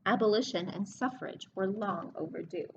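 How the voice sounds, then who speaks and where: A woman librarian reading a picture book aloud to children, expressive and explanatory, pausing to speak to her young listeners. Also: mid-range voice, dull audio, slight background noise. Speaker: children's librarian hosting story time.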